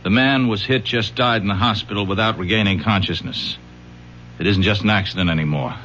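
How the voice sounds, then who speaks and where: A man's voice: on the low side, brisk, sharp tone. An actor playing an earnest, suspicious police detective in a radio play, informal and insistent in his cop talk. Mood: dutiful. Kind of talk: old-time radio drama acting. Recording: dull, noisy lo-fi radio recording.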